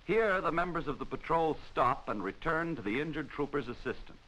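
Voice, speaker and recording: American man narrating with lively, swinging pitch. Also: a little hiss or hum, rough recording. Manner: formal, measured, instructional. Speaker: training film narrator. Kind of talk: 1940s scripted instructional film narration. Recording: vintage 1940s film soundtrack.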